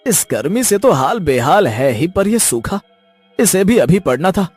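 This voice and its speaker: A man voicing a distressed, drought-stricken village farmer in an animated story, with a husky voice. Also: high-pitched, unhurried, no room sound, faint music behind, heavily acted. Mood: despairing.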